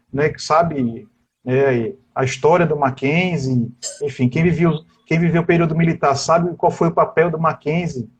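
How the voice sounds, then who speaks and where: A fairly high-voiced man with a husky, slightly breathy voice, giving an interview. He talks at length in a discursive way and keeps tagging his sentences with little questions. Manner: discursive, with constant tag questions. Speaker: interviewed teacher. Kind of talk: political interview over a live stream.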